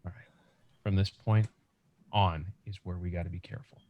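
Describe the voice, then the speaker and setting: A man's voice: deep voice, flat delivery, normal speed, a little mellow, muffled recording, natural voice. A man acting a young, practical, reassuring scavenger survivor in an urgent, conversational comic book table read over a video call. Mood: cautious, instructing.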